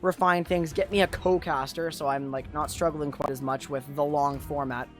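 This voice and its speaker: Esports streamer hosting, mid pitched voice thats starting to give out, casual and effusive, music playing behind. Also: fairly even intonation, moderate pace. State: losing voice after two days of talking. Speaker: tournament host and streamer caster. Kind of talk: casual esports livestream wrap-up, chatting with viewers.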